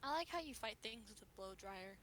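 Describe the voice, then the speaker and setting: A woman's voice: on the high side, animated, fairly quick, a bit bright. A woman chatting casually as a co-streamer during a video game, playful and quirky in how she talks. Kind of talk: casual chat on a gaming stream. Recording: faint background noise.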